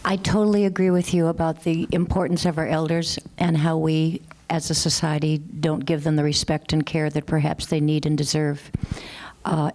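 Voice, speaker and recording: A woman educator with a Minnesotan accent speaking on a panel, steady and matter-of-fact as she lists examples. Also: deep voice. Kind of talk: panel discussion remarks. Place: conference session room.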